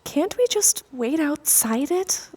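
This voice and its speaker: Nervous sci-fi crewmate: high-pitched, slow, hesitant, full of doubt. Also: female voice, big pitch swings, mellow, full character voice. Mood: worried. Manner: hesitant, questioning. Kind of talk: audio drama acting.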